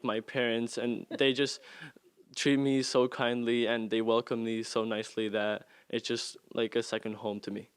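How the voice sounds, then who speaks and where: A man's voice: mid-range voice, smooth, no room sound. A teenage boy from Spain, speaking English, shy and giggly as he introduces himself. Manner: shy, giggly, simple phrasing.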